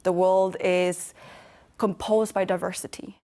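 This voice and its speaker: A young woman from western Norway speaks English with a Norwegian accent, earnest and measured, in a reflective interview. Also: fairly low pitch, flat delivery. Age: young adult. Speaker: founder of a storytelling organisation, interviewee. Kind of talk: reflective interview monologue to camera. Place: dark indoor studio.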